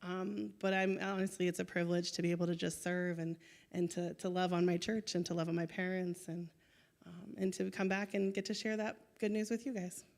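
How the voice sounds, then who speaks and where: A woman's voice: lowish voice, level tone, brisk, creaky, darkish tone. A young woman giving a chatty, upbeat missionary testimony at church into a handheld mic, with many ums. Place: church sanctuary. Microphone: handheld microphone.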